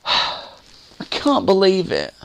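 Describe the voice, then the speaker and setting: A man's voice: high-pitched, creaky, a bit bright, heavily acted. A man playing a grumpy, put-upon correspondent in a comedy sketch, whining and complaining. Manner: whiny, sighing, complaining. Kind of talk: comedy sketch piece to camera.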